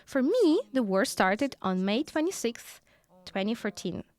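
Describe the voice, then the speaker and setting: A woman speaks a first-person voice-over translation in a lively, conversational way. Her voice sits a little high, and her pitch rises and falls a lot. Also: a little slow, no room sound. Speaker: voice-over interpreter.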